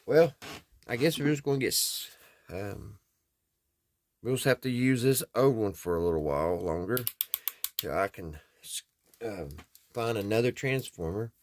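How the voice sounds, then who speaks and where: A man's voice: on the low side, lively intonation, a little slow, pauses often, a little hiss or hum. An American man talking casually and folksily, thinking aloud as he hosts a hands-on repair vlog. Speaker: host of a radio repair video.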